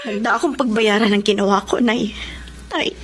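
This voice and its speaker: An actress playing a long-suffering, bitterly resolute wife in Filipino radio melodrama, with slow, emotional delivery. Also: female voice, mid-range voice, a little hiss or hum, lightly acted. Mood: tearful resolve.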